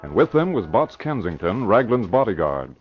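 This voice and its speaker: Male narrator, low voice with animated inflection, vintage radio drama, muffled sound over music. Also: moderate pace, slightly rough. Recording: muffled old radio recording.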